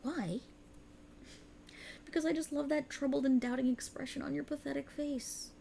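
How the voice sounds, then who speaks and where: A woman's voice: high-pitched, fairly even intonation, moderate pace, mellow, slight background noise. A woman voicing a cruel, seductive otherworldly patron in a condescending, theatrical ASMR roleplay monologue. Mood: sadistic, seductive. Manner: condescending, taunting, theatrical, with breathy chuckles. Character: cruel, haughty otherworldly warlock patron, a seductive dark deity.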